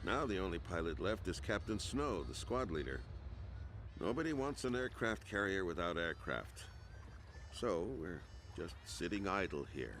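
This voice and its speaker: Deep-voiced, grave aircraft carrier captain. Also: male voice, sing-song, normal speed, a bit bright, slight background noise, heavily acted. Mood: somber. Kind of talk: video game cutscene acting.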